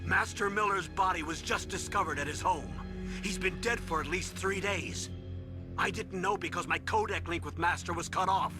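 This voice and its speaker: A man's voice: high voice, moderate pace, lightly acted. A man playing an authoritative military colonel in a video game, giving urgent, commanding briefings. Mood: grave, urgent. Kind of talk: video game cutscene voice acting. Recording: loud music behind.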